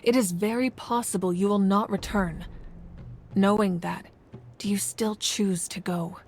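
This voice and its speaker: A woman's voice: medium pitch, fairly even intonation, darkish tone, slight background noise, lightly acted. A woman voicing a composed, commanding ruler. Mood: grave. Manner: measured, grave, deliberate. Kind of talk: game voice acting. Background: game music.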